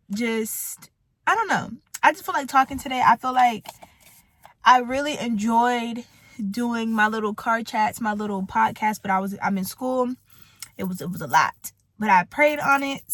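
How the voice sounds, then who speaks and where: Chatty young woman vlogging, bright voice. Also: fairly high pitch, no echo. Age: 20s. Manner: chatty, rambling, laughs easily. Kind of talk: casual talk to camera. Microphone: camera mic.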